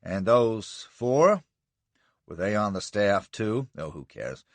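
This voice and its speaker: Brief: man playing a brusque young Yankee officer, clipped staccato orders, lively delivery, dry studio sound. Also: low voice, normal speed, no room sound, pitched below the performer's own voice. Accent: American. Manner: staccato, snapping. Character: wounded young American commander, brusque.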